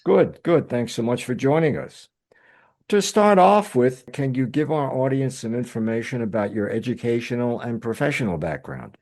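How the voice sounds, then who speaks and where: Mellow-voiced man hosting formally. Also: medium pitch, animated, normal speed. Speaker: host. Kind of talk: interview hosting.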